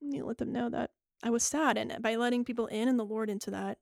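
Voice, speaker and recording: A woman's voice: fairly high pitch, fast talker, creaky, a little mellow, dry recording, studio quality. A young woman co-hosting a faith podcast, laughing easily and explaining things step by step. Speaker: podcast co-host. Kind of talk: casual faith podcast teaching.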